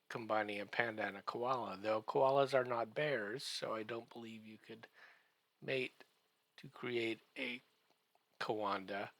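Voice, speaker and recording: A man giving a comedic podcast recap in a halting, drawn-out way, full of wry joking asides. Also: medium pitch, fairly bright tone, no room sound.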